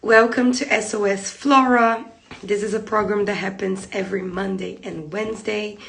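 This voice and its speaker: A hoarse woman in her thirties talks hairdressers through a live tutorial, seated close to a phone in a small room. Her voice is gravelly and rough from a cold, and she circles back to her points in a chatty way. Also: medium pitch, fairly even intonation, normal speed, a bit bright. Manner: chatty, repeats points, gestures while talking. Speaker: hair treatment educator. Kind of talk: live-streamed tutorial talk. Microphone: phone camera close in front.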